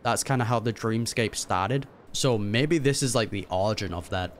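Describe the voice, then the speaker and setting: A man's voice: lowish voice, moderate pace, a little hiss or hum. A man hosting a gaming reaction, commenting casually in a laid-back, slangy way. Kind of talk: casual game playthrough commentary. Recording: game music behind the voice.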